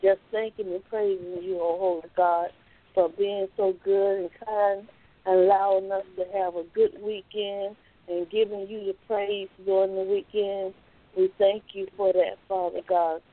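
A woman caller praying aloud earnestly, with steady repeated address to God. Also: mid-range voice, a little slow. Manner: earnest, steady prayer phrasing. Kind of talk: spoken prayer. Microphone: phone call. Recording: tinny phone line.

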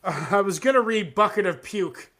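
A man's voice: high-pitched, lively intonation, moderate pace, sharp tone. A man hosting a live music reaction show, chatting loosely with plenty of swearing and reading his own comic poems aloud. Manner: loose and profane, with frequent fillers. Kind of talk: casual live-stream chat.

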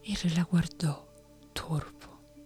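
A woman reading a fantasy story aloud in a steady whisper. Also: lowish voice, slow, faint music behind. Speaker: narrator.

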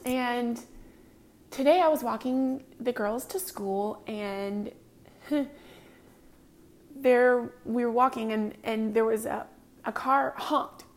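High-voiced earnest woman podcaster. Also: slow pace, pauses often. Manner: earnest and confessional, many ums. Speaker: podcast host. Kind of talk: casual personal talk.

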